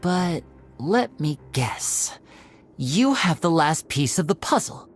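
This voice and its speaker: A woman voicing a clever, courteous young man in a video game, explaining things in a measured, earnest way. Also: low voice, very animated, faint music behind, full character voice. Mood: pondering. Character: clever young male stage magician, composed, analytical, courteous. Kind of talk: video game character acting.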